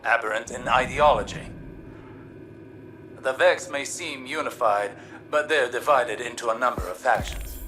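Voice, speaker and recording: Bright-voiced philosophical scholar played by a man. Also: mid-range voice, lightly acted. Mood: inquisitive. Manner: deliberate, reflective, articulate. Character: thoughtful male scholar and warrior, philosophical, earnest. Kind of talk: dramatic video game cutscene acting. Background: faint music.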